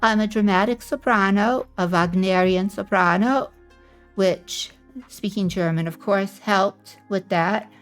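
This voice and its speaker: Woman streamer chatting slow and easy, mid pitch, drifts around with lots of pauses, soft music under her. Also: fairly even intonation. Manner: slow, meandering, with many pauses. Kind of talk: casual self-introduction stream. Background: faint background music.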